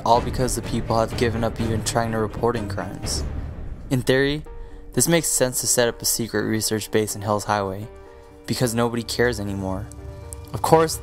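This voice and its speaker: A man reading scripted story narration as the narrator of an audio drama. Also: fairly low pitch. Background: music with guitar.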